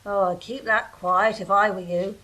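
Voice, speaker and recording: A woman playing a lowly, cheeky castle dung shoveler in a comic radio play, blunt and earthy in her speech. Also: fairly even intonation, normal speed, pushed and bright, natural voice, low-quality recording.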